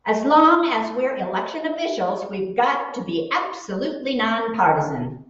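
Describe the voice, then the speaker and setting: A woman playing a sensible, teasing, gently scolding wife in a 1940s radio comedy. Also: on the high side, sing-song, moderate pace. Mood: cheerful, brisk. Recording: muffled, echoey room, far from mic.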